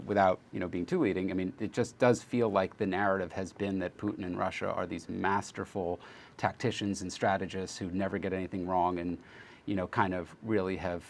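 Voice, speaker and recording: A man's voice: deep voice, gravelly. A man hosting an interview over a video call, asking questions hesitantly with many fillers. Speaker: host, interviewer. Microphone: video call microphone.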